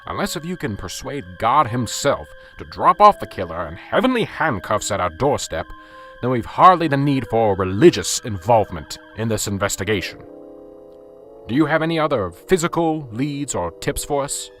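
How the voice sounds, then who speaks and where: A man's voice: medium pitch, very animated, normal speed, a bit bright. A man playing a stressed, blunt police sergeant who is curt and sarcastic, in an amateur radio drama, with faint music behind. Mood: impatient.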